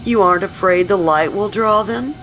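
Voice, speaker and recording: A woman's voice: mid-range voice, normal speed, a bit bright, close to an everyday voice, rough recording. Lady playing a bossy haughty madam, short clipped words, mid voice, horror audio drama, bit of music. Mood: cool, appeased. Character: imperious, easily annoyed madam who rules the town.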